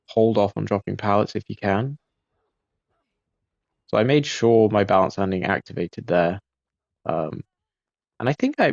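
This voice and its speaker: A man giving relaxed post-game commentary over his gameplay, laid-back and self-critical, with frequent ums and uhs. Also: sits low, creaky, dry recording, muffled recording. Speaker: gaming video creator.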